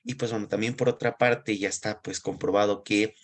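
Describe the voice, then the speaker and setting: Low-pitched male interviewer, halting. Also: steady tone. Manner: hesitant, halting phrasing. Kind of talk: conversational interview hosting.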